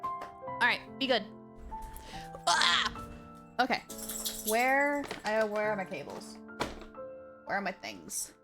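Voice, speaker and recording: A woman livestreamer chatting casually over a game, with an excitable, gushing manner. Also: on the high side, slow pace, creaky, pauses often, music in the background. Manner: excitable, gushing, baby-talks to her dog. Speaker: live game streamer. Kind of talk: casual livestream chat while gaming. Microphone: streamer's mic, wearing headphones.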